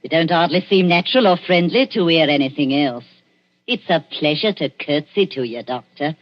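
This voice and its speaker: An actress playing a sly, bossy old matron of the underworld who wheedles in a 1940s radio drama. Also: female voice, low voice, expressive, moderate pace. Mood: fawning. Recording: dull vintage 1940s radio recording, music.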